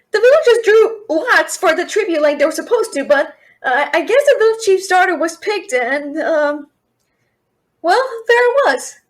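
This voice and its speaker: A young woman playing a cheeky, frightened thief who stammers and bluffs in a fantasy audio roleplay. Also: high-pitched. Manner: nervous, stammering, fast-talking bluffer. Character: cheeky, scared young female thief, talking her way out.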